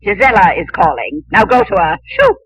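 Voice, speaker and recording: A woman's voice: mid-range voice, wildly swooping pitch, brisk, fairly bright tone, breathy edge, no echo, exaggerated voice. Haughty, swooping, scolding stepmother. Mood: impatient, bossy. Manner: imperious, sing-song rhymed scolding. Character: haughty, scolding middle-aged stepmother, cold and bossy. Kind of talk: 1950s radio drama acting. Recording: dull, dry 1950s radio recording.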